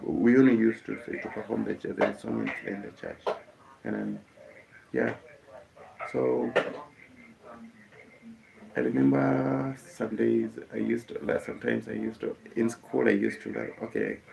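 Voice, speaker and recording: A young Kenyan man, a musician whose first language is Kikuyu, telling the story of his life in a halting way, with many fillers and restarts. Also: fairly low pitch, big pitch swings, vocal fry, darkish tone, rough recording. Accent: Kenyan English.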